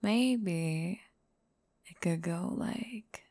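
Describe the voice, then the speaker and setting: A woman playing a gentle, earnest singer-songwriter in an ASMR audio drama, speaking softly and hesitantly, often breathy. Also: on the low side, very slow, no echo, full character voice. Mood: wistful, apologetic.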